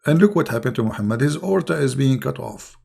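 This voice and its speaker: Hoarse, gravelly man mocking his opponents. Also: mid-range voice, lively intonation, fast. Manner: mocking, scornful, with sudden loud rises. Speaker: YouTube channel host. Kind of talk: casual polemical monologue to viewers.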